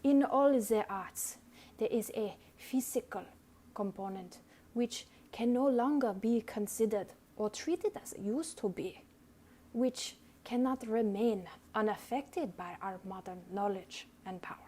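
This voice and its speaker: A woman reads an essay aloud as a dramatic performance, deliberate and theatrical, with pointed pauses. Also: medium pitch, mellow, breathy edge. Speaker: reader. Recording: noticeable background noise.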